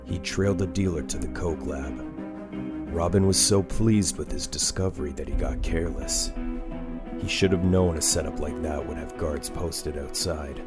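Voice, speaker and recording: Casting: male actor as stern brooding masked vigilante, extremely low voice, terse measured comic-dub narration over prominent music. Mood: grim, brooding. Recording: music behind the voice.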